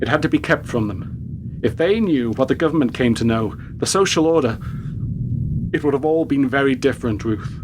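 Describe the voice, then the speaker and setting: A grave, secretive man, played by an actor in a radio play, uneasy beneath his solemn manner. He speaks in stilted, deliberate phrases at a medium pitch, with clear background noise behind him. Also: close to an everyday voice. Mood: grave, cryptic.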